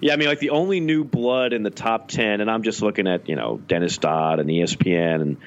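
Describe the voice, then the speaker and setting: A man's voice: on the low side, a little fast, highs cut off. A man co-hosting a podcast, chatting casually and animatedly with frequent restarts. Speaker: podcast co-host. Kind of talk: casual sports talk.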